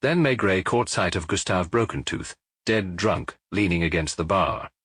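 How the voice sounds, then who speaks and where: A male audiobook narrator reads detective fiction in a lowish, husky voice. His intonation is lively and literary, and the recording is dry. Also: dry recording.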